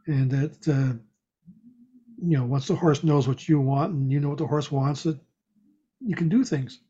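Male conversational partner, soft-edged husky tone, explanatory and unhurried phrasing, muffled lo-fi audio. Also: fairly high pitch. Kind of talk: casual chat. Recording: dull, lo-fi sound.

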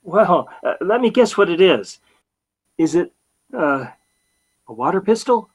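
A man's voice: high-pitched, very animated, a little slow, husky, full character voice. An actor playing a bumbling, sheepish customer who stammers through his bluffs in an old-time radio comedy. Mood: nervous stammering. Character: flustered, bumbling male customer bluffing as big-game hunter.

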